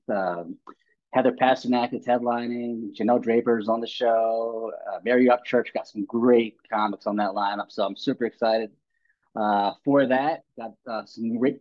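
A man who works as a comedian chats easygoing and unhurried, full of fillers. He is calling in over the web, so his voice sounds dull and lo-fi. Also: medium pitch. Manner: relaxed, easygoing, many fillers. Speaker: comedian guest. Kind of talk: casual podcast chat. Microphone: remote web call. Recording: lo-fi remote web call.

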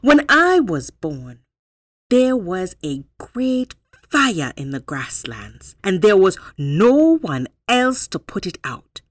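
A woman's voice: medium pitch, very animated, slow, dry recording, clean studio sound. A woman voicing a boastful guinea fowl in a folktale, emphatic and proud, claiming great age. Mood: proud boasting.

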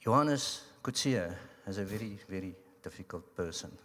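A man's voice: on the low side, normal speed, a little hiss or hum. A man whose first language is Afrikaans, with a South African accent, giving a joking church talk. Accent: South African English. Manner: joking, relaxed. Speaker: guest speaker at church. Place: church hall. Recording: long hall reverb.

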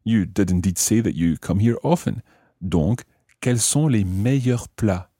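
Male teacher-host, very low and mellow, methodical explainer for a language lesson, very dry sound. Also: a little slow, husky, no echo. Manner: methodical, spells out and repeats phrases.

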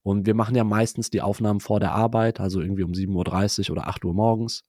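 Male podcast co-host with a head cold, lowish voice, close dry mic, loose reflective chat. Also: flat delivery, quick pace, darkish tone, no room sound. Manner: reflective, talks in loose run-on sentences. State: has a cold.

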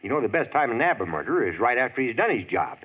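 Chatty small-town cook, lively up-and-down voice, tinny old radio sound. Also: male voice, mid-range voice, racing, breathy edge. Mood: gossipy. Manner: folksy, gossipy. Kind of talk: 1940s radio acting.

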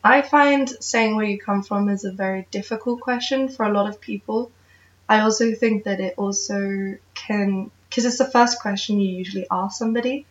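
A woman's voice: medium pitch, moderate pace. Woman, from Singapore, earnest, roomy echo, dull sound. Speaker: interviewee. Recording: roomy, distant, muffled recording.

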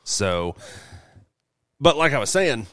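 A man's voice: medium pitch, very animated, takes it slowly, a bit bright, no room sound. A man in casual conversation, speaking bluntly and swearing freely. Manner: profane, blunt. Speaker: podcast co-host. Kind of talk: casual chat.

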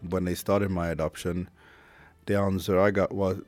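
A man's voice: low voice, flat delivery, normal speed, darkish tone, dry recording, soft background music. A man in his thirties with a Swedish accent, hosting a candid, reflective monologue podcast and swearing casually. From Sweden. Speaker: podcast host.

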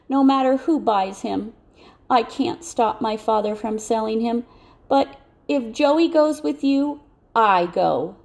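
Seeking a woman to play a heartbroken teenage farm lad, earnest and pleading, higher-pitched, storybook narration. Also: darkish tone.

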